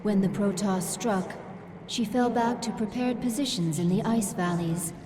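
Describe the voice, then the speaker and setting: An actress voicing a loyal, businesslike military adviser in a video game, with a measured, deferential manner. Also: female voice, medium pitch, slight background noise, close to an everyday voice. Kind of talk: dramatic video game acting. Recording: loud game music over voice.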